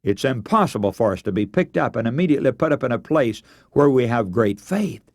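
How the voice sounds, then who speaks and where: A man's voice: mid-range voice, expressive, brisk, dry recording, studio quality. A man preaching a radio sermon, earnest and emphatic, telling stories and posing rhetorical questions.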